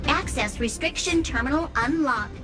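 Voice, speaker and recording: A woman's voice: high voice, animated, moderate pace, music in the background. A woman voicing a polite, dutiful gatekeeper robot in a video game, with formal, mechanical phrasing. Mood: formal, obedient.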